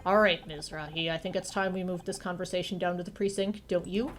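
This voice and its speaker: Woman doing a gruff, curt old police detective. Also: on the low side, fairly even intonation, a little fast, lightly acted. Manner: curt, sardonic.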